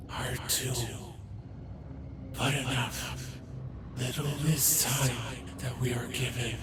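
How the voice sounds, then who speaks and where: An ancient, scornful spirit in an audio drama, whispering in solemn, archaic phrases. The delivery is extremely slow and breathy, half submerged in loud, noisy ambience. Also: male voice, mid-range voice. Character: ancient haughty disembodied spirit, scornful of flesh.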